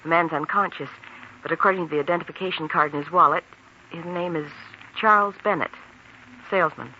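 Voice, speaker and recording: A man playing a brisk, businesslike American hospital switchboard worker in a 1940s radio drama. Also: fairly high pitch, pushed and bright. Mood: urgent, businesslike. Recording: muffled 1940s radio recording, faint noise.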